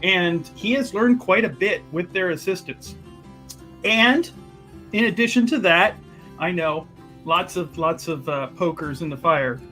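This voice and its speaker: A man's voice: sits high, measured pace, pushed and bright, faint music behind. A man playing a tabletop game, casually recapping what his character has been up to, often stopping to search for words and restarting.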